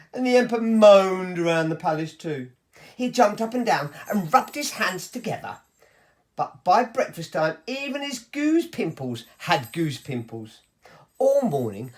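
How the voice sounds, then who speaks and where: A woman reading a story to children in a theatrical, playful and emphatic way. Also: lowish voice.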